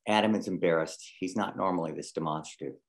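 Very deep-voiced man narrating a script. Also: quick pace. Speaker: reader of stage directions.

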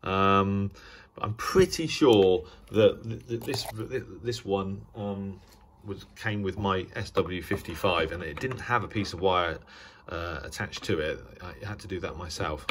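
Deep-voiced British man, halting delivery. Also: slight background noise. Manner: halting, often restarting mid-sentence. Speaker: radio hobbyist presenting a video. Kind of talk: casual unscripted demonstration. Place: indoors at a table.

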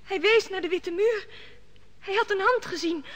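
A woman's voice: very high voice, normal speed. An actress playing a frightened young servant girl in an old radio play, reporting news hesitantly. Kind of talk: 1950s radio drama acting.

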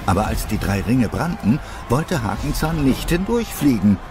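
A man's voice: medium pitch, sing-song, normal speed, background noise. A man narrating a scripted story as the narrator of an audio drama.

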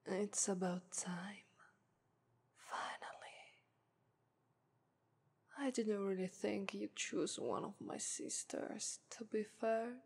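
A haughty female lust demon voiced by a woman who purrs her taunts in a breathy, airy whisper. She speaks with an Italian accent and drags every phrase out very slowly. It's an intimate ASMR roleplay. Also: medium pitch, fairly even intonation, darkish tone, full character voice. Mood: teasing. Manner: whispery, teasing, purring "ara ara".

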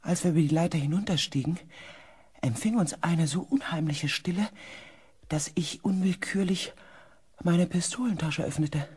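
An actor playing a grave expedition member who narrates in the first person, measured and sometimes hushed, in a sci-fi radio play. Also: male voice, a little high, husky. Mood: grave, eerie. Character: grave male expedition member recounting an eerie mission.

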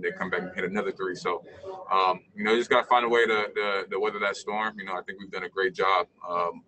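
Low, flat-voiced athlete, halting. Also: male voice, slight background noise, poor audio. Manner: halting, many fillers and you-knows. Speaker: pro basketball player. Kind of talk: post-game press conference answers. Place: indoor media room.

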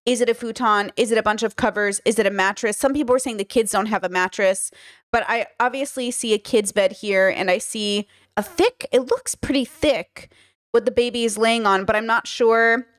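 A woman's voice: fairly high pitch, normal speed, dry recording. A young woman from Southern California giving casual reaction commentary, thinking aloud at a studio microphone in a small bedroom. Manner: chatty, thinks aloud, gestures a lot. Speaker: commentary YouTuber. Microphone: studio mic on boom arm.

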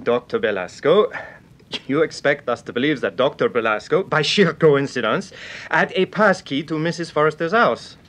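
An actor playing a sceptical, cocksure police investigator in an old radio serial, formal and insistent. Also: male voice, on the high side, expressive, moderate pace, a bit bright.

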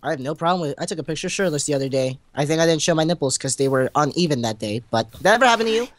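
A man's voice: a little high, expressive, racing. A young man co-hosting a gaming video, bantering casually in a crude, teasing, rambling way with some stammering. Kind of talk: casual joking gameplay banter.

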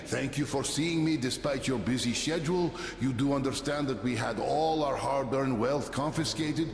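A man acting as a meek, bitterly resigned trader in a game. His medium-pitched, deferential voice rings through an echoey hall with clear ambient noise. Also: natural voice. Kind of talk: dramatic video game dialogue.

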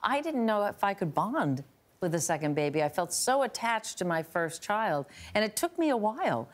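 A woman's voice: medium pitch, big pitch swings, normal speed. A woman in her sixties hosting a daytime talk show panel in a TV studio, leading the chat and reading news items aloud. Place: TV studio with live audience. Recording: studio audience heard.

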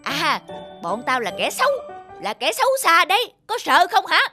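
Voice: extremely high pitch, huge pitch swings, normal speed, heavily acted. An actor voicing a boastful, menacing male germ villain in a cartoon, taunting and swaggering. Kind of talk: animated villain acting. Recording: loud music behind.

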